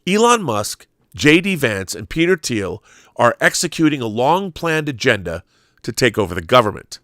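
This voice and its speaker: An American man hosting a live show, talking conversationally with halting repeats and emphatic stresses as he reads aloud and riffs. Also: fairly low pitch, a bit bright, no echo, clean studio sound. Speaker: show host reading viewer questions live. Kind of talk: conversational live-stream commentary, reading aloud and riffing.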